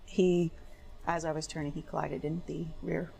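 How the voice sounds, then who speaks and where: Woman acting accident claimant, gravelly vocal fry, lowish pitch, careful factual answers, understated, slightly hesitant. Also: little pitch movement, normal speed, warm tone. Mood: careful, subdued. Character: plain-spoken, careful female car crash claimant under questioning. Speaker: witness in a dramatized discovery. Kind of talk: testimony, short factual answers.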